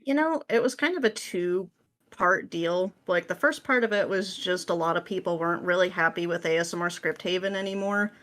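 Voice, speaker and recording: A woman's voice: fairly low pitch, normal speed, fairly bright tone. A woman speaking as an interview guest in an informal, wry, conversational way. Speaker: podcast guest, script writer. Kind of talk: casual interview chat.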